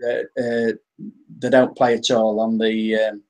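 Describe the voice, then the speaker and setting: A man's voice: lowish voice, level tone, a little slow, a little mellow. An English man talking casually as the guest on a live-stream interview, often restarting and repeating his words. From England.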